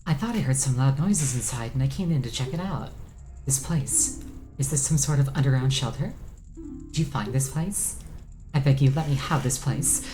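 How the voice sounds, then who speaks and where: A woman's voice: extremely low pitch, animated, normal speed, mellow. Woman reading as a pleading game townsperson. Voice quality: husky. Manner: earnest, pleading, apologetic. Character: pleading, polite townsperson begging for shelter. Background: game music.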